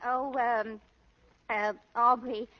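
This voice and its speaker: Muffled 1930s radio, adoring young sweetheart, very high pitch, coy, eager, girlish. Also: level tone, slow. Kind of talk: 1930s radio comedy acting. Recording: dull, lo-fi 1930s radio recording.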